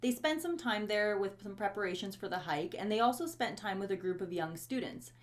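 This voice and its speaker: A woman's voice: mid-range voice, lively intonation, a little fast. A woman from Bosnia, English not her first language, co-hosting a true-crime podcast and reading from notes with brief dry asides. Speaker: podcast co-host.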